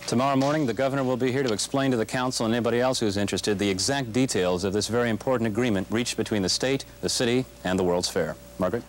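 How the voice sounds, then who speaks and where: A man's voice: medium pitch, very fast, hardly any pauses, a little hiss or hum, rough recording. A man reporting live for television news from a council chamber in a matter-of-fact way. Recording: crowd chatter behind, local TV broadcast. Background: crowd murmur.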